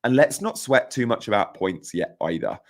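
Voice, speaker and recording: A young man with a croaky voice, casually chatting on a live stream about fantasy football and answering viewers' comments, emphatic and repeating his points. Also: on the low side, big pitch swings, normal speed.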